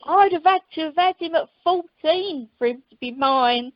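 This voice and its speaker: A woman's voice: sits high, normal speed, dry recording, close to an everyday voice. A woman playing a brash, earthy married holidaymaker, chatty and blunt, in an amateur radio comedy recorded over the telephone. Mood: blithe. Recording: dull, dry telephone conference recording.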